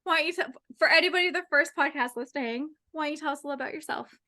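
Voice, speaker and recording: A young adult woman hosting a podcast in casual, upbeat chat, quick to laugh. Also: high voice, bright, forceful tone. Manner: chatty, upbeat, laughs easily. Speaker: podcast host.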